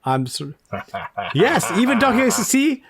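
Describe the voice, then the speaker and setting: A man's voice: high voice, wildly swooping pitch, takes it slowly, crowd noise. Enthusiastic male podcast co-host. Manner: enthusiastic, laughs often, repeats words. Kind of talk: casual chat.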